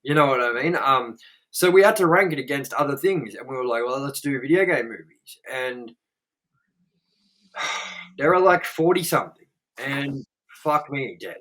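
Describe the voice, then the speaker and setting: A man's voice: medium pitch, expressive, normal speed, pauses often, fairly bright tone, rough recording. A man co-hosting a podcast, talking in a rambling, casual way, full of fillers and swearing. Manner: rambling, filler-heavy, frequent "like" and "you know what I mean". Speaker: podcast co-host. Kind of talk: casual chat, swearing freely.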